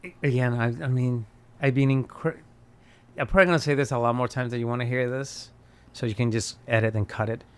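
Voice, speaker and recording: A Spanish-speaking man from Colombia with a Colombian accent, chatting as a podcast guest in an animated way, laughing and restarting often. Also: medium pitch, a little fast, clean tone. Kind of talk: casual conversation.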